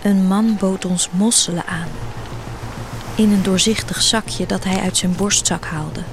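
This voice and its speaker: A woman's voice: mid-range voice, steady tone, measured pace. Woman narrating, music behind. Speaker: narrator of an audio drama story. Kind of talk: literary first-person scripted narration.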